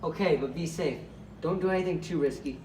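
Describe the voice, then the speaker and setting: A man's voice: on the high side, brisk, a little mellow, lightly acted. A man playing a caring, cautious rabbit leader in an amateur puppet show, earnest and concerned. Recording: echoey, noisy room, far from mic.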